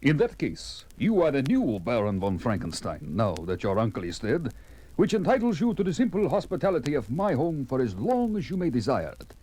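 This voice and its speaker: A smooth-talking sinister nobleman, husky, very animated, 70s horror record style. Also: mid-range voice, fairly quick, poor audio. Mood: gracious, insistent. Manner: formal, smooth-talking, theatrical. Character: courtly nobleman host with sinister intent. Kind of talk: 1970s horror audio drama acting.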